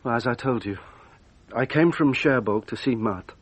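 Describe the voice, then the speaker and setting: An actor playing a remorseful, anguished young man accused of murder in a radio drama, with a husky voice. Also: medium pitch, moderate pace, natural voice.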